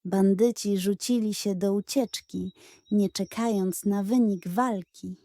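A woman narrating a children's audio story in a dramatic, measured storytelling manner. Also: medium pitch, fairly even intonation, dark tone, no echo.

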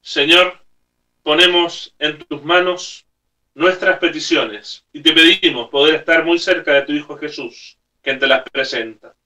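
A middle-aged man speaks as a priest at a Mass recorded at home. His voice is fairly high and bright, and he preaches earnestly in short phrases with pauses. The microphone stands a little way off in the room. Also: far from the mic, poor audio. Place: small room at home, indoors. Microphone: camera a few metres away.

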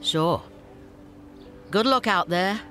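A shrewd scavenger boss in a fantasy video game, brisk and teasing as she lays out a deal. Her mid-pitched voice swings widely in pitch, with faint game music underneath. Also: lively intonation, pushed and bright. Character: shrewd female scavenger faction leader. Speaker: game character.

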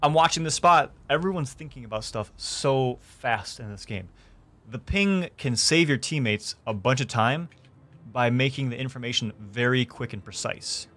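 A young man hosting a gaming tutorial, explaining things clearly step by step from his streaming room. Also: medium pitch, animated, normal speed, fairly bright tone. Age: young adult. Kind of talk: tutorial narration. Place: small indoor room streaming setup.

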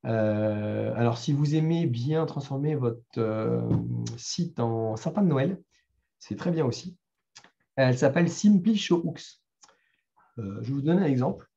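A man's voice: medium pitch, expressive, unhurried, gravelly, mellow, lo-fi sound. A middle-aged man with a French accent giving a conversational talk at a developer meetup, full of fillers and restarts. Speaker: meetup speaker. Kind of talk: live talk with screen demo.

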